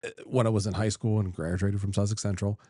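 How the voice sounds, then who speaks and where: A man's voice: on the low side, steady tone, warm tone, dry recording, clean studio sound. A middle-aged man from Delaware, a state senator, chatting as a guest in a casual interview and telling stories in an easygoing, folksy way. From Delaware, USA. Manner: easygoing, folksy, unhurried storytelling.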